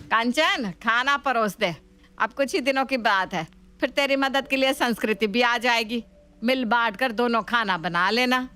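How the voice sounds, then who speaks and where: A woman's voice: fairly high pitch, a little slow, sharp tone, clear, resonant tone. A woman voicing an authoritative middle-aged mother-in-law in a household story, commanding and matter-of-fact.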